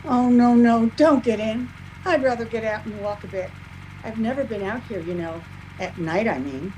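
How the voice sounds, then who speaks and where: An anxious young sweetheart in an old-time radio drama, played by a woman. Her high voice is earnest and fretful, devoted and persistent, with a melodramatic delivery. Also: normal speed, noisy background, natural voice. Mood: uneasy. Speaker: amateur radio play actor. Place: home, over a video call.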